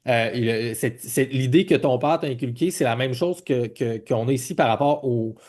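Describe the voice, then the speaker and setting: A man's voice: mid-range voice, normal speed, darkish tone. Middle-aged man with a Quebec French accent. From Quebec, Canada. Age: 40s. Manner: informal, rambling, self-correcting questions. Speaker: podcast host. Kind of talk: casual interview.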